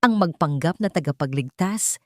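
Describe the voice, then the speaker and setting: A woman narrating a scripted dramatic story in deliberate, suspenseful short phrases. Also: low-pitched, expressive, a little mellow, dry recording, clean studio sound.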